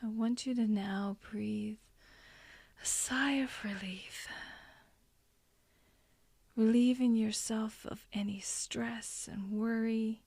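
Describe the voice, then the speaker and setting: A woman with a soft, breathy, whispery voice leading a guided hypnosis meditation in a soothing, gently instructing way. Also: a little high, steady tone, slow pace, long pauses, no echo. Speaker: hypnotherapist guiding a session.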